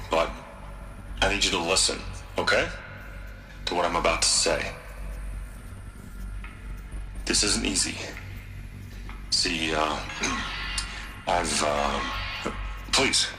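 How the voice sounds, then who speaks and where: A deep-voiced, unhinged tech magnate in a video game, halting and stammering as he swells into grandiosity. He sounds weighed down by guilt, with music prominent behind him. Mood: halting, apologetic. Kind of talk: dramatic video game acting.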